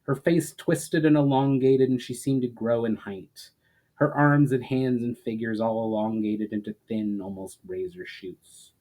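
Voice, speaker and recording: A man with a mid-pitched voice reads fantasy fiction aloud. His narration is dramatic, starting phrases with emphasis and letting their endings fall away softly. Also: fairly even intonation, measured pace, low-quality recording. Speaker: author reading his fantasy story.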